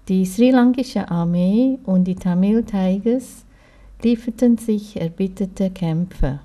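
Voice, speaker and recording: A young woman reading a scripted first-person account in a measured, even way. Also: medium pitch. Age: young adult.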